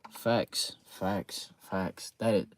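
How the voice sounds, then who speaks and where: A man's voice: deep voice, fairly even intonation, extremely slow. A man streaming live, chatting casually with viewers about a game league, rambling with lots of fillers and repeated words, his throat sore. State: sore throat, voice getting low.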